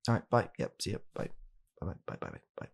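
A young British man in a hushed, halting, deadpan comedic ASMR roleplay, playing a bitter, weary father coldly blaming his child. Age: young adult. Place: small home room, indoors.

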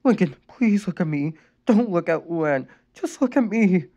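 A man voicing a distressed mother who gently pleads with her son to calm down. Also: sits high, brisk, highs cut off, voice pushed up. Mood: urgent pleading. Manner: pleading, urgent coaxing.

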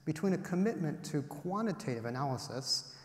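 A man in his forties giving an academic lecture from a podium, measured and explanatory, stressing each item as he lists them. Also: fairly high pitch, animated. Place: large auditorium, at a podium. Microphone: podium microphone. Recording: roomy hall with long reverb.

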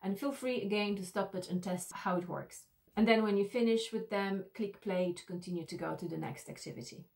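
A woman's voice: mid-range voice, a little fast, gravelly, darkish tone. Woman explaining in an instructional tutorial. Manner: explanatory, addressing the viewer directly. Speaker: e-learning instructor.

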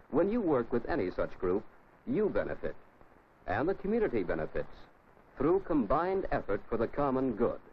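A man with an American accent reading didactic scripted narration for a 1950s instructional film, addressing the listener directly. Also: medium pitch. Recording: muffled, noisy old 1950s film soundtrack.

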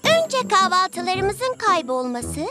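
A woman's voice: extremely high pitch, sing-song, slow pace, full character voice. An eager cartoon schoolgirl. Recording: studio voice with loud music.

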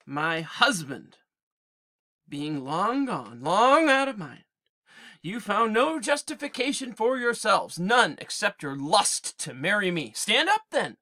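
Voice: medium pitch, big pitch swings, slow pace, pushed and bright, voice pushed up, exaggerated voice. A teacher reading aloud as a proud, grieving queen addressing her suitors, in a declamatory way. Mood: defiant.

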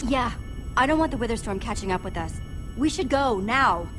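A woman's voice: on the high side, animated, moderate pace, over music, natural voice. A woman playing a young adventurer and determined group leader in a video game, earnest and urgent in her delivery.

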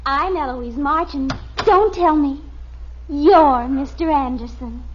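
A woman's voice: high-pitched, slight background noise. A woman playing a young, affected teenage girlfriend in an American radio sitcom, coy and gushing in an American accent. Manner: coy, gushing, drawn-out delivery. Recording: dull vintage radio recording.

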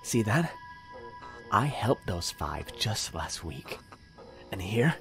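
Deranged serial killer, audio drama: halting, whisper-prone menace, widely swinging pitch, clear music underneath. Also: male voice, big pitch swings, bright, forceful tone, exaggerated voice. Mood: self-righteous, menacing. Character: deranged, self-righteous male serial killer confiding in his victim.

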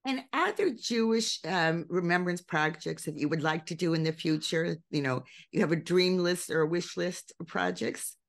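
A woman's voice: on the low side, normal speed, gravelly, hardly any pauses. Older woman hosting a video-call podcast. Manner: friendly, light humor. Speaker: podcast host. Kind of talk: interview over video call. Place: home room with shelves.

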